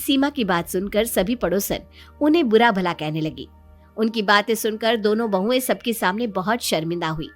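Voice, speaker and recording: A woman's voice: medium pitch, expressive, moderate pace, soft background music. A woman narrating a scripted moral story in storybook style.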